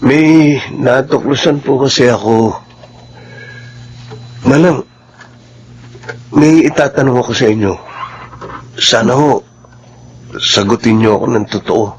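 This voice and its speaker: A man's voice: medium pitch, slow, long pauses, a little hiss or hum, natural voice, poor audio. A man playing a respectful, loyal household employee, polite and earnest, in a Filipino radio drama. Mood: earnest, troubled.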